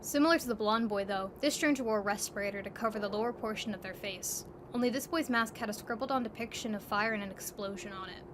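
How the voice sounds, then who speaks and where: A woman's voice: medium pitch, quick pace, hardly any pauses, noisy background. A woman narrating a scripted second-person story as the narrator.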